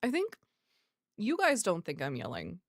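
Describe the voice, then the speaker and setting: A soft-voiced woman hosting a livestream, chatting casually with viewers and muttering asides to herself. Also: medium pitch, wildly swooping pitch, normal speed, creaky, dry recording, clean studio sound. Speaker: livestream host.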